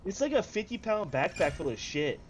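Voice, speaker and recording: A man's voice: high voice, sing-song, a little fast. A young American man in casual, emphatic chat, repeating words for emphasis. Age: young adult. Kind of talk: casual chat, swearing banter. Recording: faint background noise.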